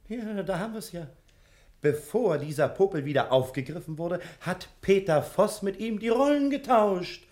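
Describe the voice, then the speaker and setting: An actor playing a confident, dogged American private detective, speaking insistently in a 1960s German radio drama. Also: male voice, high-pitched, animated, moderate pace, a little mellow. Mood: insistent, heated.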